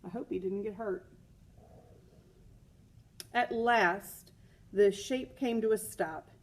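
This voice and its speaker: A woman's voice: mid-range voice, slow pace. A woman reading a children's picture book aloud as a teacher, expressively, stressing words and adding chatty asides. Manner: expressive, with emphatic repeated words.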